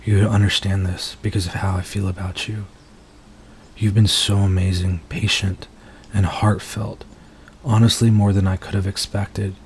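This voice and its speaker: A man playing a nervous, earnest werewolf in an intimate ASMR roleplay, speaking softly and haltingly as he confides in his date. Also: low-pitched, a little hiss or hum. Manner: soft-spoken, hesitant, halting, earnest.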